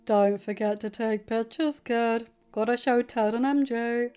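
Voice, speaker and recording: A fond, teasing rich dad played by a woman narrator, speaking in slow, drawn-out playful phrases. It is storybook dialogue on a slightly dull recording. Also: fairly high pitch, steady tone, dark tone, clear, resonant tone, lightly acted. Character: fond, teasing wealthy adult father man.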